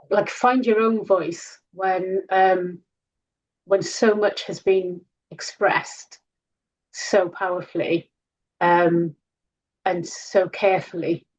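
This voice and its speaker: A woman reflecting in a tentative, careful, halting way in conversation at an event, on muffled lo-fi audio. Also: darkish tone. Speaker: invited speaker at a book launch. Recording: dull lo-fi audio with noise and rumble.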